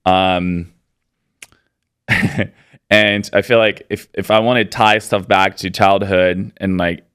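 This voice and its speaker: A man in his thirties, raised in Poland, answering interview questions in a relaxed, rambling way with lots of fillers. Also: deep voice, slow, clear, resonant tone, no room sound, studio quality. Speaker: podcast guest, business owner.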